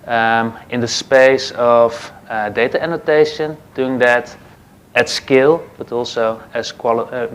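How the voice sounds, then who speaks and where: A man from the Netherlands with a Dutch accent, speaking as a guest on a conference panel in an easygoing, slightly halting way. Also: medium pitch, fairly even intonation. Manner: easygoing, a bit halting, restarts phrases. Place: conference stage.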